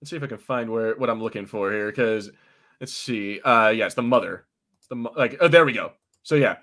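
A man's voice: lowish voice, fairly quick, sharp tone. A man co-hosting a casual podcast, chatting loosely, laughing often and reading out live chat comments. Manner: laid-back, laughing, puts on quoted voices. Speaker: podcast co-host.

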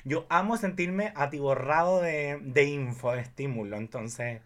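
A man's voice: fairly high pitch, unhurried. A man in his thirties with a Chilean accent, chatting casually as a podcast guest in an effusive, rambling way. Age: 30s. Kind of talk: casual chat.

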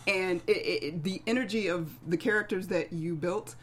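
A woman's voice: on the low side, moderate pace. A woman from the American South talking casually in a panel discussion, reflective and often searching for her words. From American South (Atlanta). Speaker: actor at a script table read.

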